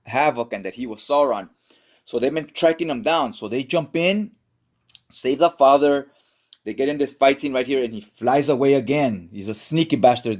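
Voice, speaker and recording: A man's voice: mid-range voice, darkish tone. Casual man recapping a story. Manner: informal, colloquial, tags statements with "right?". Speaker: comic book recap narrator.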